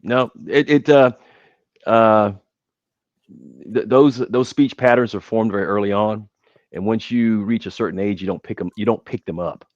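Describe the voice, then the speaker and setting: Midwestern man as podcast guest; low voice, halting and rambling, dull dry recording. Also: no echo. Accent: Midwestern American. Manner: rambling, with many restarts and hesitations. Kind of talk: casual podcast chat.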